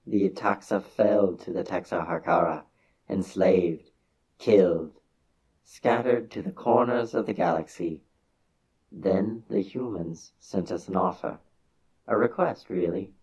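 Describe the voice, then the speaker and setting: A man with a husky voice giving a solemn, measured, theatrical reading of a science fiction story as its narrator. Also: deep voice, a little mellow, low-quality recording.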